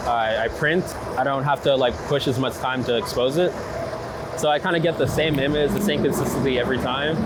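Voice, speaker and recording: A man talking casually and enthusiastically in an unscripted interview, informal and slangy, peppering his sentences with "like". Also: medium pitch. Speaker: interviewed street photographer. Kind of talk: casual chat, unscripted interview while out walking. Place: outdoors on city streets. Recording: loud urban street ambience, traffic noise. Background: city traffic and street ambience.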